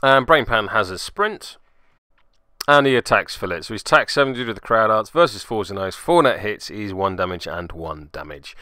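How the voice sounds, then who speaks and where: A man's voice: lowish voice, animated, moderate pace, sharp tone, dry recording. A man hosting and narrating a tabletop game move by move, with theatrical flourishes and wry asides. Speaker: podcast host and player.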